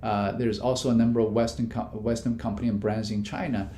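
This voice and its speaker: A man, a business professor, giving measured answers in an interview with frequent fillers and restarts. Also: level tone, mellow. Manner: many fillers and restarts, non-native grammar; measured, explanatory. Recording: echoey room with faint rumble.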